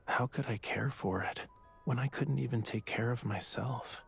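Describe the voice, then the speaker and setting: An actor playing a self-assured yet quietly vulnerable young artist in a romance game, speaking softly and intimately with a teasing touch. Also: male voice, mid-range voice, normal speed, gravelly, faint music behind, close to an everyday voice. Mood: wistful.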